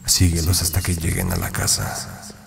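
Very deep, gravelly man narrating. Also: big pitch swings, normal speed, a bit bright, a little hiss or hum. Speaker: narrator of a video game countdown. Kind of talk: scripted narration of creepy countdown.

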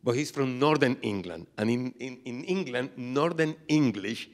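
A man's voice: medium pitch, lively intonation, moderate pace. A man joining the discussion with a remark and an anecdote, speaking hesitantly.

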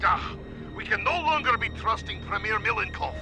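Lively Russian comrade. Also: male voice, high voice, normal speed, gravelly, over music. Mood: doubtful. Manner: broken English. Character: credulous male Soviet comrade. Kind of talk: comic video game cutscene acting.

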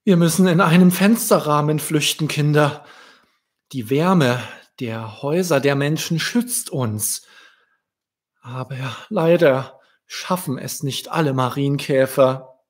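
A man's voice: on the high side, slow pace, pitched above the performer's own voice. A man voicing an anxious, caring mother ladybug who solemnly warns her children in a children's storybook. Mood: worried warning.

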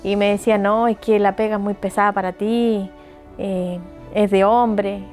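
A woman's voice: medium pitch, level tone, normal speed, darkish tone, faint music behind. A woman in her thirties from Argentina with an Argentine accent, a mechanic chatting casually about her work in an interview and acting out what people said to her. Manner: chatty, laughs easily, acts out quotes. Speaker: mechanic and business owner. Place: open shed outdoors at her home.

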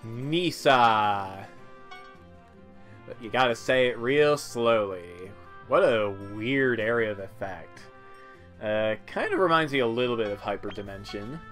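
A man's voice: mid-range voice, lively intonation, unhurried, a bit bright. A man giving casual, offhand video game commentary with wry asides. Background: game music.

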